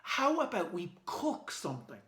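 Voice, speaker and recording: Man reading as an eager Irish schoolboy, raised bright voice. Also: high voice, wildly swooping pitch, a little slow, exaggerated voice. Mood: eager, encouraging. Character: eager, kindly young schoolboy hero of a storybook. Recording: echoey room.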